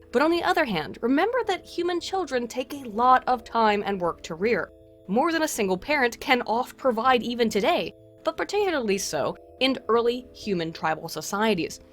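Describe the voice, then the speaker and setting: A woman narrating a scripted video essay in formal, wordy academic phrasing with wry asides. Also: mid-range voice. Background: music.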